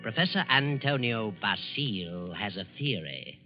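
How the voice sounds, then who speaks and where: A man with an American accent delivering measured, theatrical narration as host of a 1940s radio drama. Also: lowish voice, animated. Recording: muffled 1940s radio recording, loud music.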